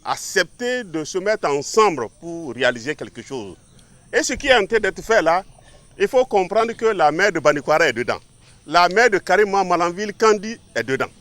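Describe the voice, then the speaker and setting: High-pitched emphatic male official. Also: very animated, normal speed, fairly bright tone. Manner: emphatic, repetitive phrasing. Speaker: local elected official. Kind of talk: public ceremony speech.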